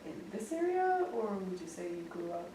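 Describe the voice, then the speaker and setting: A young woman hosting a casual campus interview, chatty and quick to giggle, with many fillers. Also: on the low side, very animated, dark tone, a little hiss or hum. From grew up in Japan. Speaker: host. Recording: echoey room, mic at a distance.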